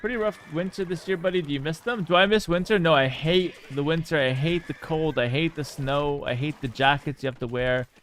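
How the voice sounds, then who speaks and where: A man's voice: on the high side, brisk, hardly any pauses, fairly bright tone, a little hiss or hum. A man from Canada, chatting casually on a live stream while playing a game, joking, swearing freely and reading viewers' messages aloud. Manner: laid-back, joking, swears casually, reads chat aloud.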